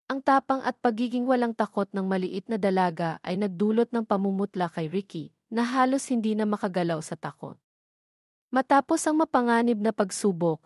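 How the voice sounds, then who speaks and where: A woman with a Filipino accent narrates a dramatic story from a script. Her voice sits at an average pitch for a woman, and the sound is dry and close, free of room echo. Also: a little mellow.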